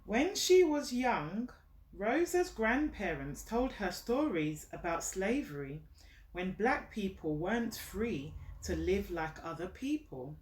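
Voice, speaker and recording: A woman reading a children's book aloud in an encouraging, teacherly way, pausing to prompt a young listener. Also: mid-range voice, big pitch swings, darkish tone. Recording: roomy echo, distant mic, faint noise.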